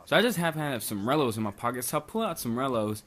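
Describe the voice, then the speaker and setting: A man's voice: mid-range voice, big pitch swings, fast, a bit bright, a little hiss or hum. A young man from Bosnia casually telling a rambling, profane story, full of "like" and swearing. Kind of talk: casual storytime over gameplay.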